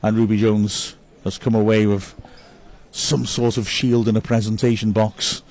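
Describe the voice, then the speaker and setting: A man's voice: fairly low pitch, little pitch movement, unhurried, a little mellow. A man giving live club commentary on a football match, reading out viewer messages and chatting upbeat about each player.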